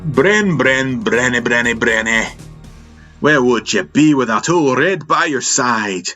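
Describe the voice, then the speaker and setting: A man playing a gruff, joking, hot-headed spaceship pilot with rough, folksy old-salt talk in an audio drama, over music. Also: mid-range voice, animated, takes it slowly, sharp tone, pitched above the performer's own voice, heavily acted. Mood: joking, calling out. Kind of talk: audio drama character acting.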